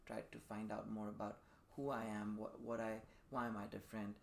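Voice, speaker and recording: Guy from dubai in his 30s, gravelly low voice, reflective interview storytelling, slight noise behind. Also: flat delivery, moderate pace. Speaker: podcast guest, performing artist. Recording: faint room noise behind the voice.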